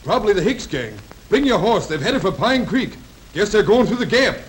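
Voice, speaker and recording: A man's voice: high-pitched, very animated, normal speed, low-quality recording. An actor playing a stern male sheriff who leads a posse, with firm and commanding delivery in 1930s film style. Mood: urgent. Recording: hissy 1930s film soundtrack.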